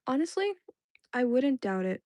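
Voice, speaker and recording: A woman's voice: high-pitched, very animated, moderate pace, dark tone, no echo. Young woman rambling to chat on her stream, lots of "like"s, dry close sound. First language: Indonesian. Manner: rambling, filler-heavy, many "like"s and restarts. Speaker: livestream host. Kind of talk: casual chat with live chat viewers.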